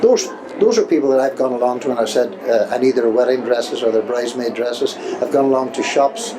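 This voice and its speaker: A man's voice: medium pitch, a little fast, a crowd in the background. A man with an Irish accent, a pantomime organiser, talking informally in an interview. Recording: clear background noise.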